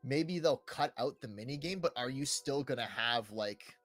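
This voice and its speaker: Expressive young male podcast host. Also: medium pitch, moderate pace, dry recording, faint music behind. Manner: informal, conversational, repeats "like" often. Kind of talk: casual chat about video games.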